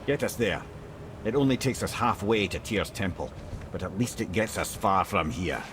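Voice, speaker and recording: An actor voicing a wry, worldly-wise storyteller companion in a video game, with a Scottish accent and an eloquent, sardonic manner. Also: male voice, deep voice, lively intonation, normal speed, background noise. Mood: wry, grave. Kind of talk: dramatic video game acting.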